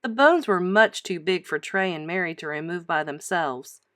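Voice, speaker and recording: A woman reading a children's storybook aloud like a teacher, with emphatic, expressive storytelling. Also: lowish voice, normal speed, no echo, studio quality.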